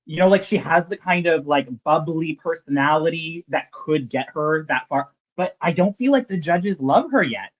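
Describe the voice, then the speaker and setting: A man's voice: on the high side, animated, moderate pace, few pauses, dull audio. A man hosting a podcast, chatting casually with a dry, self-deprecating wit. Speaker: podcast host.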